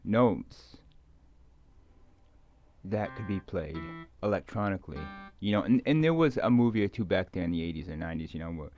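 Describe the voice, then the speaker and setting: A middle-aged man in an unscripted, casual ASMR ramble, halting and meandering, with many fillers, on a muffled home recording. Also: low-pitched, lively intonation, darkish tone, faint music behind. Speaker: ASMR video maker. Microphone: microphone moved around beside a keyboard.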